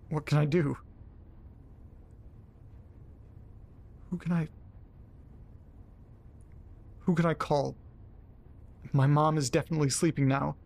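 A man acting in an audio drama as a frightened, hungover man alone in the dark, halting and repeating himself as he swears. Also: a little high, vocal fry. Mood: anxious, frustrated.

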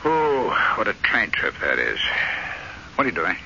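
A restless, easily riled American husband in a radio drama. His voice is very forceful and highly animated, with a sardonic, exasperated edge. The old recording is dull and noisy. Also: moderate pace, creaky, heavily acted. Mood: weary, puzzled.

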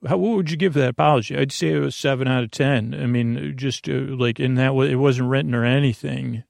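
Male sleep-podcast host, gravelly and dry-miked, drifting through halting, digressive bedtime rambles. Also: medium pitch, a little mellow, no room sound. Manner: meandering, halting, digressive, self-interrupting. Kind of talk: rambling bedtime monologue to lull listeners to sleep.

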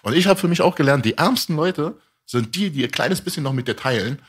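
A man's voice: fairly high pitch, expressive, brisk, breathy edge. A young man chatting casually and candidly, with a streetwise way of talking. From half Italian, half Iranian, raised in Germany. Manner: streetwise, candid, often says "weißt du". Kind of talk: casual chat.

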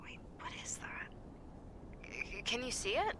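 A woman's voice: a little high, big pitch swings, measured pace, a bit bright, in a whisper, full character voice. A woman acting in an audio drama as an upbeat, observant bunker maintenance worker, heard through a suit recorder outdoors. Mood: curious, uneasy. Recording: suit recorder, outdoor ambience, faint noise.

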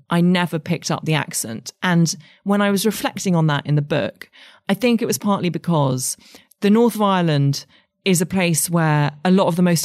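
A woman's voice: lowish voice, a little mellow, no echo, studio quality. A woman in her forties with an English accent, a writer speaking candidly and thoughtfully as an interview guest. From England. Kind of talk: reflective interview conversation.

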